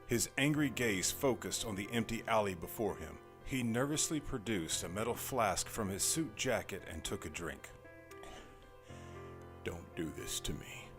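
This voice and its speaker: A man's voice: lowish voice, animated, normal speed, hardly any pauses. A man narrating a scripted audio drama as storyteller. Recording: music behind the voice.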